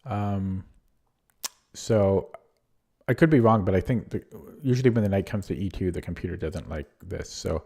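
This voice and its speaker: Low mellow-voiced man losing his voice. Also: normal speed, gravelly, breathy edge. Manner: thinking aloud, hesitant with many ums and restarts. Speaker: chess streamer. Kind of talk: casual game review commentary.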